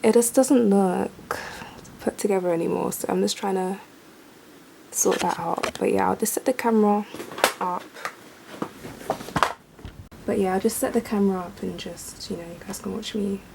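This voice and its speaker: A young woman, chatting casually to camera at home about her day, a little croaky. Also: mid-range voice, normal speed, long pauses, background noise. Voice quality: slightly croaky in the morning. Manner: relaxed, chatty, thinks aloud. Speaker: vlogger. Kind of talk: casual vlog chat to camera. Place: bedroom at home.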